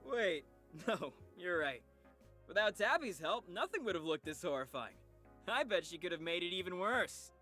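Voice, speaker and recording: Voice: mid-range voice, sing-song, normal speed, pushed and bright. An actor voicing a smug, easygoing popular schoolboy in a visual novel, breezy and teasing. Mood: mocking. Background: faint music.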